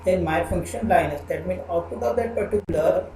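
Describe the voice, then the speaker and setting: A man giving a programming lecture, explaining step by step with halting phrasing and repeated fillers. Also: high voice, darkish tone. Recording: echoey room with background noise.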